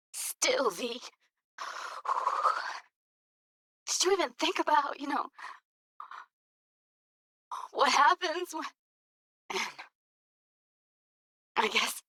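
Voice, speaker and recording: A woman's voice: high voice, sharp tone, dry recording, heavily acted. A woman playing a guarded, streetwise young technician in a video game, speaking in a casual, slangy, blunt way. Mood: hesitant, hurt. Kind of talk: naturalistic video game voice acting.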